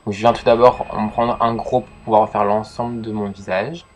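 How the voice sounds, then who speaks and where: A man's voice: fairly low pitch, little pitch movement, moderate pace. A young man with a head cold talking through a casual makeup tutorial, hesitant and full of fillers. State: congested with a cold. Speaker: makeup vlogger.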